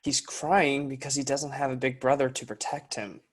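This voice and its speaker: A patient young man who works with children, read by a man in a script table read. He asks questions calmly and gently. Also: mid-range voice, moderate pace, natural voice.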